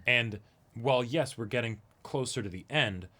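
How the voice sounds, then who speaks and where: A man's voice: medium pitch, moderate pace, bright, forceful tone. A man co-hosting a reaction show, chatting casually, often hedging and checking for agreement as he talks. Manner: conversational, hedging with "like" and "you know", seeking agreement with "right?". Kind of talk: casual discussion of an anime episode.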